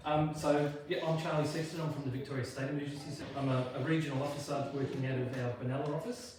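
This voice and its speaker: Man, Australian accent, relaxed panel talk, hesitant ums, very echoey room. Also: a little high, fast, background noise. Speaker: emergency service regional officer. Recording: echoey hall, distant mic.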